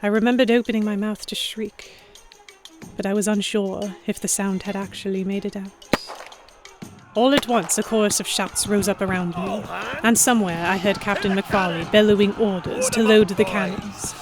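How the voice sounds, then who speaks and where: A woman voicing a young noblewoman heroine, telling her own story in an audio drama. She sounds fretful and introspective, tentative when she speaks up, at a middling pitch. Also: fairly even intonation, moderate pace, music in the background, lightly acted. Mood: tense, dramatic. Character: anxious young noblewoman heroine narrating her captivity among pirates. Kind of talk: first-person scripted narration in audio drama.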